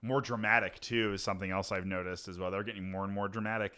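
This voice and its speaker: Bright, laid-back man in his twenties. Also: lowish voice, flat delivery, fast talker, smooth. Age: 20s. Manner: laid-back, swears freely. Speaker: podcast co-host. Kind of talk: casual chat.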